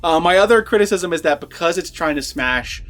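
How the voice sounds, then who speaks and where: A man's voice: fairly high pitch, expressive, fairly quick, fairly bright tone. A man chatting casually as a movie reviewer, conversational and sweary, repeating words as he makes his points. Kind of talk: casual film review chat.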